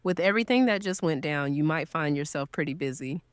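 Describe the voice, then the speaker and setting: A woman's voice: deep voice, a little fast, clear, resonant tone, no echo, close to an everyday voice. A woman playing a kind, earnest newcomer's host in an audio drama, speaking casually and sympathetically. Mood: curious, casual. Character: kind, grateful woman welcoming a newcomer, gently guilty.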